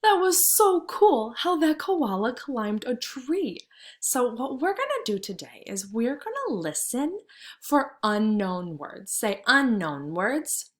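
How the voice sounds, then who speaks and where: A woman with a fairly high, very lively voice leads a read-aloud lesson for small children. She speaks as a kindergarten teacher, explaining simply and thinking out loud. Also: slow pace.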